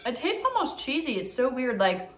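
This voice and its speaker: A woman's voice: sits high, big pitch swings, moderate pace, background noise. A young woman chatting casually to viewers in an upbeat vlog, talking while she eats in her home kitchen. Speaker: vlogger.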